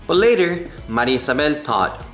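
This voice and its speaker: A man's voice: on the high side, sing-song, normal speed, a bit bright. Man reading a storybook in an echoey room. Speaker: read-aloud narrator of a children's book. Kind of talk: storybook read-aloud. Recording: roomy echo, music behind the voice.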